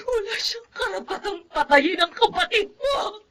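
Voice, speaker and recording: A woman's voice: very high-pitched, lively intonation, moderate pace, a bit bright. An actress playing a tearful, outraged mother grieving a murdered daughter in a radio drama, wailing and pleading. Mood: tearful outrage. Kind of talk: Tagalog radio drama acting.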